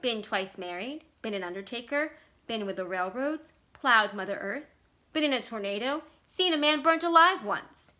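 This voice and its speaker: A woman playing an escaped male convict in a storybook reading, musing deliberately in folksy rural speech. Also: on the high side, animated, pushed and bright. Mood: brooding.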